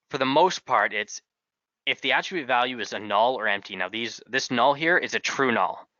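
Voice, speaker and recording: Man, software trainer, tutorial walkthrough, bright voice, halting phrasing, dry sound. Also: mid-range voice, dry recording. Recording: slightly dull, dry close recording.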